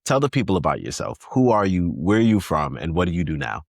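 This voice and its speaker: A man from New York with a Brooklyn accent, hosting a podcast interview in a relaxed, reflective way. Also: sits low, fast talker, gravelly, no room sound, studio quality. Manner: relaxed, reflective, repeats guest's points back. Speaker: podcast host. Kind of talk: conversational interview.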